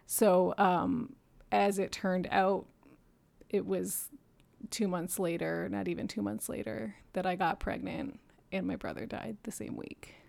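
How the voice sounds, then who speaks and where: A woman's voice: medium pitch, fairly even intonation, unhurried, vocal fry, a little mellow. A woman in her thirties telling her personal story as a podcast guest, earnest and reflective, laughing often between thoughts. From Japan and New York City. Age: 30s.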